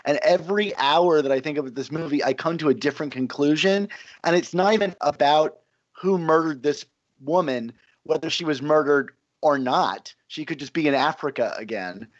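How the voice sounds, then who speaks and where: A man's voice: fairly high pitch, moderate pace. A man on a podcast musing aloud about a film, speculative and questioning. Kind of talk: casual film discussion. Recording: slightly dull, lo-fi sound.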